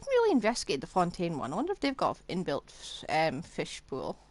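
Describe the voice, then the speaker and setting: A woman's voice: lowish voice, wildly swooping pitch, fairly quick. A woman game streamer giving casual live commentary, thinking aloud and restating herself as she goes.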